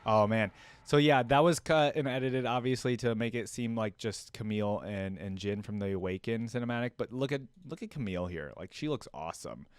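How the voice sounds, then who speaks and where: A man's voice: mid-range voice, a little fast, clear, resonant tone, no echo. A man giving casual reaction commentary, musing aloud in slangy, impressed asides as the host of the video.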